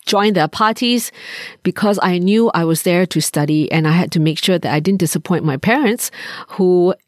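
A woman in her sixties from Malaysia, co-hosting a podcast and sharing her experiences in a measured, reflective way with frequent pauses. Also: fairly low pitch, dry recording, studio quality. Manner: measured, thoughtful, pauses with fillers. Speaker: podcast co-host.

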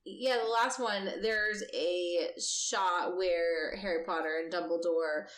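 A woman's voice: lowish voice, fairly even intonation, takes it slowly, fairly bright tone. A woman co-hosts a casual podcast. She sounds upbeat and easygoing and uses "like" a lot. Her voice carries a roomy echo. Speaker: podcast co-host. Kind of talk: casual chat.

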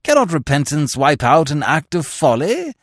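Brief: male reader as an insistent young gentleman, earnest, lively delivery. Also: a little high, moderate pace, no echo, clean studio sound, pitched above the performer's own voice.

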